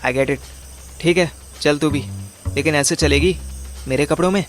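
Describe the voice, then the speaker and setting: A man's voice: a little high, measured pace, a little hiss or hum, close to an everyday voice. A man playing a bossy, irritable young detective boss in an audio drama, slangy and impatient, mixing in English words. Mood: brisk, instructing. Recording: music under the voice.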